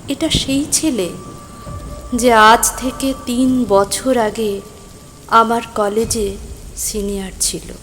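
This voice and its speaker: A woman playing a stranded, concerned young woman who narrates her own mystery story, emotive and suspenseful, with deliberate pauses. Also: a little high, fairly even intonation, fairly bright tone, a little hiss or hum. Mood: stunned, wistful. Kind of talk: scripted first-person dramatic story narration. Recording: voice over rain and thunder ambience.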